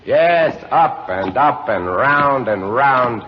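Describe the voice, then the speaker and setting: An actor narrating as a lighthouse keeper with vivid, dramatic storytelling in a 1950 radio drama. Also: male voice, mid-range voice, expressive, takes it slowly, a little hiss or hum. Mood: tense. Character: lighthouse keeper recounting a horror, male, adult. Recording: dull old radio broadcast with music.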